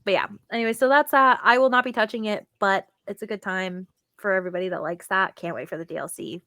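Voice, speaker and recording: A woman's voice: medium pitch, fast talker, pushed and bright, dry recording. Enthusiastic woman chatting, close dry sound. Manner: chatty, enthusiastic, informal with frequent "like". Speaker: podcast co-host. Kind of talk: casual gaming podcast chat.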